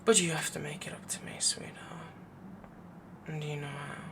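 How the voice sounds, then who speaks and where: A man voicing a doting, possessive young wolf boy mate in a soft, intimate, breathy whisper for an ASMR boyfriend roleplay. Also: a little high, steady tone, background noise. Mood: soothing. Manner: slow, intimate, cooing, rambling devotion.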